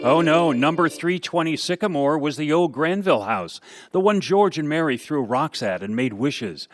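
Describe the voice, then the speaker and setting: An actor narrating a radio play as a folksy, knowing storyteller. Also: male voice, fairly high pitch, expressive, moderate pace, music in the background, close to an everyday voice. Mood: fond, wistful.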